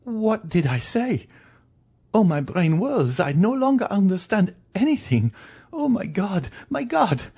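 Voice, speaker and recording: Storybook gentlewoman acted by a man, frantic and pleading, high swooping voice, drawn-out stammering delivery. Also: very animated, husky, full character voice. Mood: overwhelmed, frantic. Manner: high, wailing, stammering pleas. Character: terrified, pleading wife, a guilty gentlewoman poisoner.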